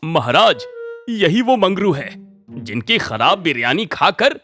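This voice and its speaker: A man's voice: on the high side, sing-song, slow pace, bright, forceful tone, faint music behind, full character voice. A man playing an aggrieved village man in a cartoon story, exclamatory and complaining. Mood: accusing.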